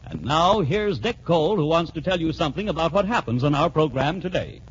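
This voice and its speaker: High, animated vintage radio announcer man. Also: fairly quick, a little hiss or hum. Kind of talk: excited 1940s radio serial announcing. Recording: muffled 1940s radio recording with music. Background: music.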